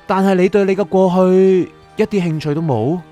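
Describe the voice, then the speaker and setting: A man's voice: high-pitched, normal speed, mellow, soft background music, natural voice. A man playing a curious, talkative soul in a radio drama, eager and wheedling as he explains things. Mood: probing, persuasive. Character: curious, talkative bargaining soul of an anime fan. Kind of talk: Cantonese radio drama acting.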